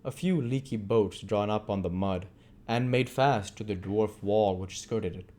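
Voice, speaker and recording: A man's voice: lowish voice, measured pace, warm tone. A man reading literary narration aloud as an amateur audiobook reader.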